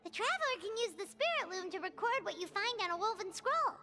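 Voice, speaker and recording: A woman voicing a small, childlike fairy companion in a video game, squeaky, chirpy and inquisitive. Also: big pitch swings, fairly quick. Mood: curious. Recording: music behind the voice. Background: game music.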